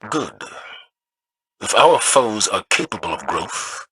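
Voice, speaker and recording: An actor playing a stern, principled military commander in a video game, speaking with formal, resolute authority. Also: male voice, lowish voice, very animated, takes it slowly, pushed and bright, slightly rough, no room sound, full character voice, low-quality recording. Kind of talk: dramatic video game voice acting.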